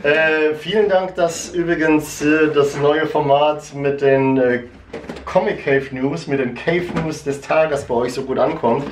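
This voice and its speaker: A man's voice: a little high, steady tone, unhurried, recorded from a distance, slight background noise. A middle-aged man with a northern German accent, presenting new merchandise from his comic shop in a casual, rambling way with many fillers and restarts. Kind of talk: casual product chat. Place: shop room with posters, indoors. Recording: roomy, from off the mic.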